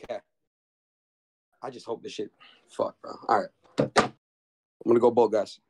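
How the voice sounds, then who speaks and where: A man's voice: on the low side, flat delivery, a little slow, breathy edge. Young guy streaming off his phone in his bedroom, restless, cursing, talking to chat. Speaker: livestreamer. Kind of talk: casual livestream chat. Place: bedroom in a house.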